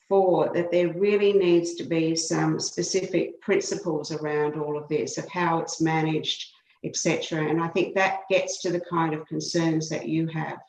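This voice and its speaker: Australian woman with roomy, low voice. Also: a little hiss or hum. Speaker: law professor, invited expert. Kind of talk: remote committee testimony. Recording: roomy echo.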